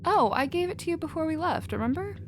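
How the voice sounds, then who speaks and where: A woman plays a scatterbrained female alien researcher in a sci-fi audio roleplay. The voice is high, gentle and playful, with informal, friendly phrasing. A faint noise sits in the recording. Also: expressive, fast talker. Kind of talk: scripted ASMR audio roleplay.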